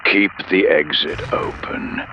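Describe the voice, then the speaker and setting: A man's voice: rumbling low, slow pace, noisy background, pitched above the performer's own voice. A man playing a stern squadron commander in a sci-fi audio drama, giving clipped orders over radio. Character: stern, terse male starfighter squadron commander.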